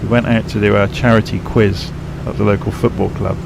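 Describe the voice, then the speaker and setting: A man streaming a flight simulator, explaining cockpit instruments in a rambling, self-correcting way, his voice croaky and tired from a late night. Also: fairly low pitch, flat delivery, fairly quick, background noise. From England. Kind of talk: casual live-stream commentary.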